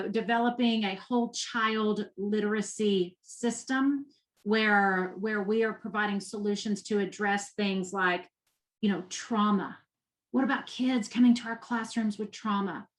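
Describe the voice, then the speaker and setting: A woman's voice: medium pitch, fairly even intonation, a little slow. This is a woman with a Texas accent, talking as an interview guest. She speaks with conviction and uses repetition to drive her points home. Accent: Texan. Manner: passionate, emphatic, repeats for emphasis. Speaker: guest, nonprofit education executive.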